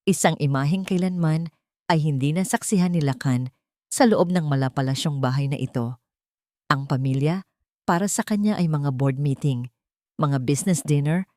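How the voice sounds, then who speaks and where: A woman storyteller reads a dramatic scripted tale in a low female register. Her intonation rises and falls expressively, with solemn, suspenseful phrasing, in a very dry recording. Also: darkish tone, no room sound. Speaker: audio drama narrator.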